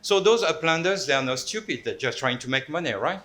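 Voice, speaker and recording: Male panel speaker, bright tone, halting delivery with rhetorical questions. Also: on the high side, lively intonation. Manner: many restarts and repeated words. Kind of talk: panel presentation.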